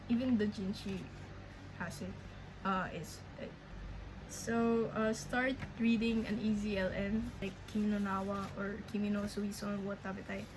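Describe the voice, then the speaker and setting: A young woman with a Filipino accent speaks at a middling pitch, her first language being Filipino. She talks slowly and rambles, stopping and restarting often. A steady noise runs clearly behind her voice. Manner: slow, rambling, hesitant with many restarts. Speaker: language learning vlogger. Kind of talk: casual update vlog.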